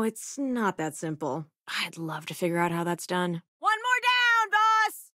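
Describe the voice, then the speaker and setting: A woman's voice: fairly low pitch, no echo, studio quality, natural voice. An actor, a young woman, voicing a clever, wry and self-assured renegade scientist who crews a spaceship in a video game. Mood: measured, then battle-fired.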